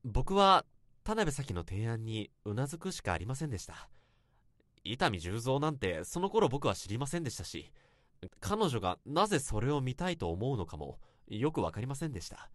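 An actor playing an earnest young office worker who narrates a past infatuation in a radio drama, with polite, literary phrasing. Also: male voice, medium pitch, very animated, moderate pace, dry recording, clean studio sound, close to an everyday voice. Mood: earnest, nervous. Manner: polite, formal, literary storytelling.

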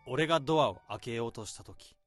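A man's voice: medium pitch, a little fast, a bit bright, faint music behind, close to an everyday voice. A man voicing a harried office worker who narrates in first person as he hides his boss's body, in a tense radio-drama monologue. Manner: tense inner monologue, rising to frantic self-pep talk. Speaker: narrator and protagonist.

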